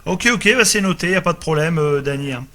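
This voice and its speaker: A man's voice: fairly high pitch, fast talker, sharp tone. A man speaking as an amateur radio operator in casual group chat, hesitant, repeating words and tacking little tags onto phrase ends, over a noisy radio transmission.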